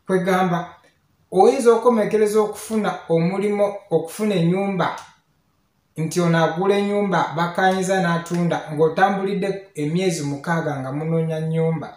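A man's voice: high-pitched, fairly quick, darkish tone. A man in his thirties with a Ugandan accent, giving informal, explanatory tips as a property consultant, often checking in with short questions. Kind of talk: informal instructional talk, mixing two languages. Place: small indoor office with hard walls. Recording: echoey small room.

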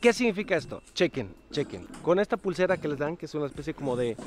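A man's voice: on the high side, big pitch swings, fast, slight background noise. A man narrating a travel vlog outdoors at a resort, upbeat and talking straight to his viewers. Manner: upbeat, addresses viewers directly. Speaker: travel vlogger.

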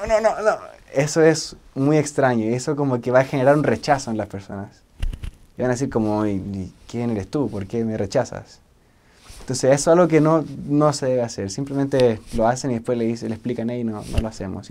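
A man in his twenties with a Chilean accent, explaining things informally to camera as a former missionary, often halting and repeating himself as he searches for words. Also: mid-range voice, a little mellow. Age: 20s. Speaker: former missionary giving cultural advice.

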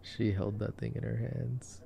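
A man giving casual, hushed ASMR movie commentary as a boyfriend roleplay, sometimes dropping to a whisper. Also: mid-range voice, flat delivery, measured pace, vocal fry, slight background noise. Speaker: ASMR voice actor.

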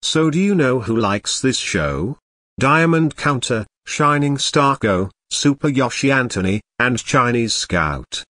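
A man's voice: mid-range voice, animated, unhurried, sharp tone, dry recording, clean studio sound. A man voicing a bratty young boy who rants and insults in a cartoon. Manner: whiny, insulting ranting. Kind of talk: cartoon grounded-video dialogue.

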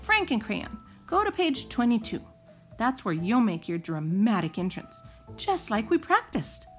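Woman as a harried, high-voiced storybook pencil. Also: big pitch swings, a little slow, fairly bright tone, faint music behind. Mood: directing. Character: harried pencil author bossing its crayon cast.

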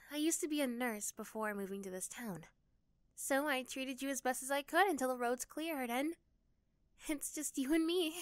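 A woman voicing a sweet, caring, shy young neighbour girl in an ASMR roleplay, gentle and hesitant. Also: sits high, normal speed, smooth, no echo, pitched above the performer's own voice, natural voice.

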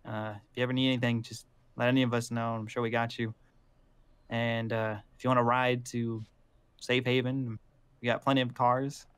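A man's voice: mid-range voice, level tone, normal speed, fairly bright tone, clean tone, no echo. A man bantering casually while improvising roleplay in an online game. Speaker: roleplayer in a Minecraft zombie series.

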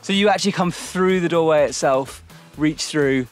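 A man's voice: fairly high pitch, moderate pace, soft background music. A man presenting on location, describing a training cellar and asking questions. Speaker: presenter interviewing a climber.

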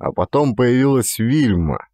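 A man's voice: mid-range voice, lively intonation, unhurried, dark tone, dry recording, clean studio sound. A man reading a detective thriller as scripted audiobook narration.

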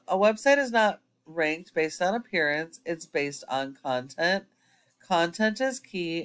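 A man's voice: a little high, smooth. A man giving a screen-recorded website audit as an SEO consultant, explaining step by step with frequent ums.

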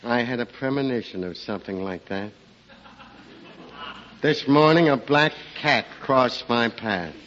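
An actor playing a lazy, gentle, henpecked farmer, hesitant and mildly droll, in 1940s radio comedy style. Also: male voice, mid-range voice, unhurried, long pauses, lightly acted. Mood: sluggish, reluctant. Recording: noisy, muffled 1940s radio recording.

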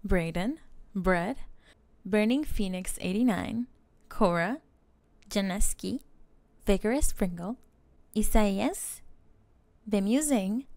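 A woman's voice: mid-range voice, slow pace, darkish tone, no room sound. A woman speaking as an ASMR channel host, giving a scripted outro to viewers. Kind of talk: scripted channel outro and supporter shout-outs.

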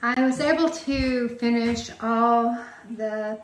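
A woman's voice: fairly high pitch, steady tone, takes it slowly. A middle-aged to older woman chatting casually and conversationally as she narrates a DIY vlog. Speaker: vlogger, DIY cabin builder. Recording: roomy echo, music behind.

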